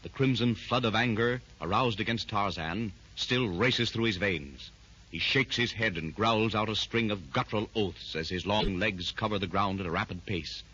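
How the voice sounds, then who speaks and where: A man gives dramatic, emphatic narration for a 1930s radio adventure serial. His voice is bright but husky, and it comes through a dull old radio recording. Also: on the low side, normal speed.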